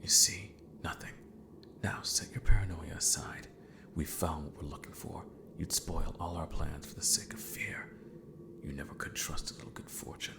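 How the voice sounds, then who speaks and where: A man playing a gentle, possibly mad villain mentor in a fantasy ASMR roleplay, speaking formally and theatrically, with scheming courtesy. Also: steady tone. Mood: agitated, self-arguing. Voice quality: deep, gravelly. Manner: slow, formal, theatrical, sometimes muttering to himself.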